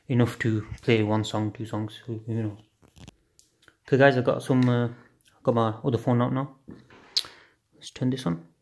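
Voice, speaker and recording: A man chats his way through an unboxing in a flat, offhand voice, dropping the odd swear word as he thinks aloud. He is recorded on a phone held above a table at home late at night. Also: medium pitch, a little hiss or hum. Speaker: unboxing video host. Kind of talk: casual unboxing chat. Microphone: phone camera filming hands on a table.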